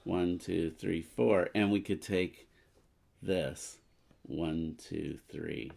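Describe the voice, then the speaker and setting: A man's voice: low-pitched, drawn-out words, gravelly, a little mellow. A man chatting casually as a co-player while working through moves in a tabletop game. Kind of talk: casual chat during a game.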